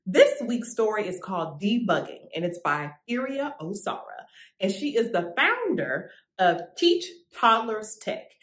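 Bright, lively young woman reading aloud. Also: medium pitch, breathy edge. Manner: expressive, animated reading for kids. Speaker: children's book reader. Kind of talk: read-aloud storytelling. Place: indoor studio with plain orange backdrop.

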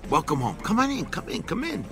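Animated, brash American gangster pal. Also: male voice, high voice, brisk, vocal fry, music in the background, heavily acted. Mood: excited, welcoming. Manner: boisterous, slangy, familiar. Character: brash, chummy young Italian-American mobster buddy. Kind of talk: game cutscene acting.

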